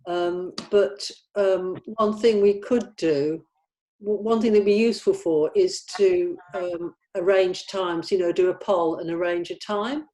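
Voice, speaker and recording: A woman's voice: lowish voice, fairly even intonation, unhurried, mellow, rough recording. Tentative woman chatting in a meeting. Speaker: writer organising a script table read. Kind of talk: casual meeting chat.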